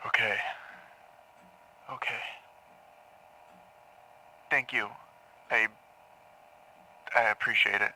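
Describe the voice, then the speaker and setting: A man playing a troubled patient in an audio drama, haltingly confessing dark memories to a therapist, stammering and repeating words. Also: lowish voice, steady tone, a little hiss or hum, full character voice, poor audio. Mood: anxious, haunted. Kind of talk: audio drama acting, intimate confessional monologue.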